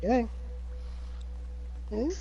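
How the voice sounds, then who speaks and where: Slow, musing male player. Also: dark tone, highs cut off, slight background noise. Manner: musing, thinking aloud. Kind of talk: casual tabletop game chat.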